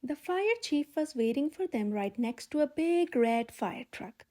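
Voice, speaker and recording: High-pitched, animated woman reading a children's story. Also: a little slow. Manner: expressive, emphatic delivery for young children. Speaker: storyteller, channel host. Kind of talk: children's picture book read-aloud.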